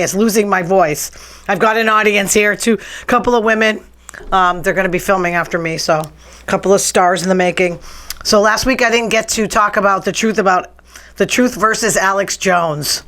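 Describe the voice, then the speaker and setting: A woman's voice: mid-range voice, moderate pace, a bit bright. Woman hosting a chat show, blunt and sarcastic, voice kinda strained and worn out. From New England. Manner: chatty, blunt, sarcastic rants. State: strained, nearly lost voice. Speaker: podcast host. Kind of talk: casual talk show chat.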